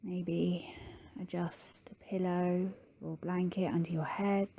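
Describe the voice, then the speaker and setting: Slow, mellow woman guiding meditation. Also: lowish voice, level tone, gravelly. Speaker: yoga nidra guide. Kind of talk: guided meditation.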